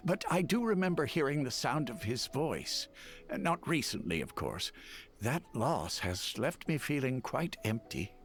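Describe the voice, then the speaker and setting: An actor playing a gentle, sorrowful elderly village chief in a video game, soft and humble, with game music behind. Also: male voice, mid-range voice. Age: older.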